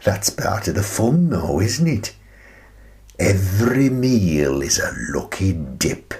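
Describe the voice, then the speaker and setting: An actor playing a gentle, wistful, eccentric old British grandfather in a radio play monologue, rambling reflectively with hesitant pauses. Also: low voice, sing-song, full character voice. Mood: playful. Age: older.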